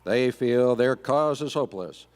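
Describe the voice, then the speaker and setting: A man's voice: mid-range voice, expressive, unhurried. A man giving dramatic scripted narration for a live Western radio play. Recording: echoey hall, live stage performance.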